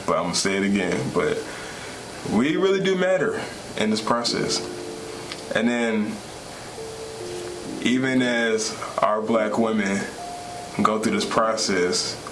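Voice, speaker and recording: A man's voice: fairly low pitch, rough recording. A young man talking casually and emphatically in a vlog, repeating phrases for stress. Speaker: vlog co-host and new father. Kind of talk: casual storytelling chat. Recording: loud music under the talk. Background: music.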